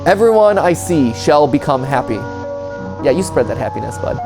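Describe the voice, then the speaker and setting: A man's voice: on the high side, big pitch swings, normal speed, vocal fry, poor audio. A man doing casual reaction commentary, reading on-screen text aloud and adding sarcastic, joking asides and exclamations. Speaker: reaction video host. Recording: music behind the voice.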